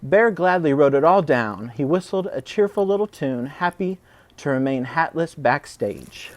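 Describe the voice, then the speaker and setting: A man reading a children's story aloud in an expressive, dramatic way. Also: fairly high pitch, a little slow, no echo. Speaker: preschool storybook reader. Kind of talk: animated children's story narration.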